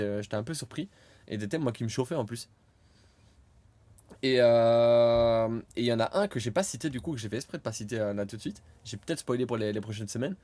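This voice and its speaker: A young man co-hosting a podcast in casual chat, hesitant with many fillers and bursts of loud laughter. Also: medium pitch. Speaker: podcast co-host.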